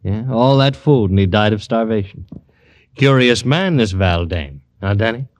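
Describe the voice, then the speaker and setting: An actor playing a matter-of-fact police doctor, dry and deliberate, in an old radio crime drama. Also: male voice, lowish voice, animated, close to an everyday voice. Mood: musing. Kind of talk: 1940s-50s radio drama acting.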